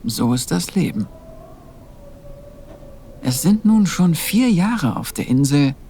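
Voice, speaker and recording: A man's voice: on the high side, sing-song, warm tone, a little hiss or hum, full character voice. A man playing a humble, pious young monastery novice in a fantasy audio play, speaking earnestly and with solemn care. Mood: reflective, earnest. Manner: solemn, measured.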